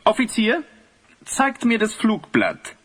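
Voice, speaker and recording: An actor playing a vain, cruel, pompous ruler in a theatrical audio drama style. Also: male voice, sits high, huge pitch swings, takes it slowly, a bit bright, slight background noise, exaggerated voice. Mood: suspicious, scornful. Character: vain cruel male ruler, obsessed with beauty. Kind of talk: German audio drama acting.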